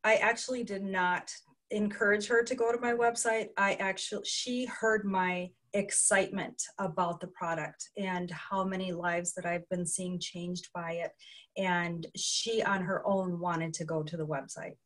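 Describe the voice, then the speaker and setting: A woman's voice: on the low side, fairly even intonation, unhurried, a bit bright, rough recording. An older woman, a retired health coach, answering interview questions over a video call from a small room at home, in an earnest, matter-of-fact way. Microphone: webcam video call.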